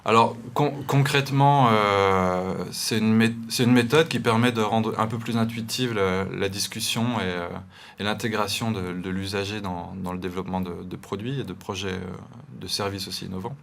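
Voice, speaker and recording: Young guy from eastern france w that accent, low voice, lots of euh and restarts. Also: fairly even intonation, normal speed, gravelly, a bit bright, slight background noise. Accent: eastern French, Montbéliard area. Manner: hesitant, many restarts and fillers. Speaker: guest designer. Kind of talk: studio interview.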